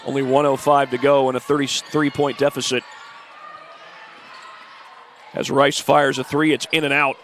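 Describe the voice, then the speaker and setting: A congested man with the flu giving steady play-by-play of a high school girls' basketball game, adding stats and schedule notes. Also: brisk, faint music behind. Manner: steady, informative play-by-play with stat and schedule asides. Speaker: play-by-play announcer. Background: faint gym ambience, ball bouncing.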